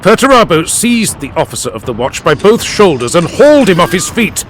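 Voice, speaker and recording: A man's voice: high voice, very animated, brisk, very noisy. A man reading dramatic scripted narration as an audiobook narrator. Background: music and added sound effects.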